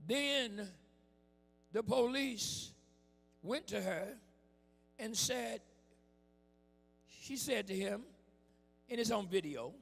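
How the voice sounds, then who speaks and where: Hoarse male preacher, high gravelly voice, slow deliberate delivery, big reverberant hall. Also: animated, faint music behind. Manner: preaching with call-and-response and shouted emphasis. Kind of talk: impassioned sermon. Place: church hall with music behind.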